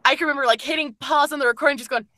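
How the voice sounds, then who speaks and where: Woman w/ bright highish voice telling stories on a podcast, expressive, dry sound. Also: rapid-fire, no echo. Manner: expressive, acts out her reactions. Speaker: podcast panelist, voice actress. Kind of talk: casual animated storytelling.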